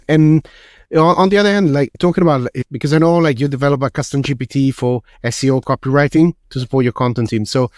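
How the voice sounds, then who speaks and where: A man hosting a podcast, chatting quickly and casually with lots of fillers. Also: on the high side, a little mellow, dry recording, clean studio sound. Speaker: podcast host. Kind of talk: casual interview talk.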